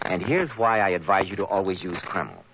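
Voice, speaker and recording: An American man announcing in polished 1940s radio style, with deliberate emphasis, on an old broadcast recording. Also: low voice, expressive, fairly bright tone, a little hiss or hum, poor audio.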